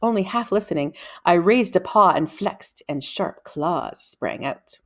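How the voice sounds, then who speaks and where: A woman reading a children's book aloud as an expressive, dramatic storyteller. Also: lowish voice, measured pace. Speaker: read-aloud reader.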